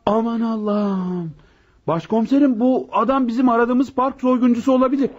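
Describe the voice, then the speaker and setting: An actor playing a deferential police officer with a brisk, obedient manner in a radio drama. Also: male voice, very high voice, steady tone. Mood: keen. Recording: muffled, slightly noisy radio drama.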